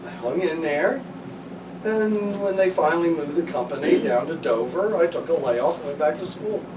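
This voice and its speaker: A matter-of-fact middle-aged man with a slightly raised pitch, telling a story plainly. He is recorded far off in an echoey room with clear noise. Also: moderate pace.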